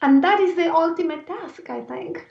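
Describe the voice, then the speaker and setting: A woman from Argentina with an Argentine Spanish accent and a raspy voice, speaking earnestly and reflectively as an interview guest. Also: extremely high pitch, moderate pace, a little mellow. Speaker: interview guest, life and movement coach. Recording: roomy echo, distant mic, dull sound.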